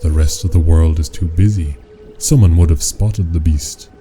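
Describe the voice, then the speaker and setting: An actor playing a brooding, menacing schemer obsessed with a sea beast, speaking in ominous, archaic musings in a radio play. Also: male voice, extremely low pitch, normal speed. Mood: brooding, frustrated. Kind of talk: theatrical radio drama acting. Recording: music playing loudly behind.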